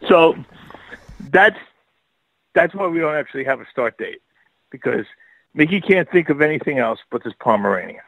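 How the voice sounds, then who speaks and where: A man's voice: fairly high pitch, wildly swooping pitch, a little slow, pauses often, a bit bright, husky, dry recording, poor audio. A man with a Brooklyn and Long Island accent, telling a long rambling story as a radio guest, laughing often and full of fillers. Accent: Brooklyn/Long Island New York. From New York. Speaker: guest author and filmmaker. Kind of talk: casual radio interview.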